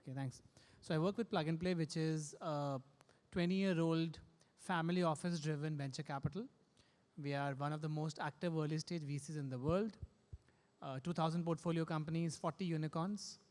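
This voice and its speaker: A man, a venture capital panelist, introducing his work in a brisk, businesslike way. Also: fairly high pitch, steady tone, darkish tone. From India. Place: conference hall stage. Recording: long hall reverb.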